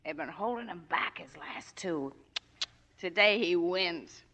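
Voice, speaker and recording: An actress playing a needy, chatty, tipsy gangster's moll who rambles and hesitates, in 1940s radio drama style. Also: female voice, fairly low pitch, lively intonation, unhurried, bright, forceful tone, full character voice. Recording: dull vintage 1940s radio recording.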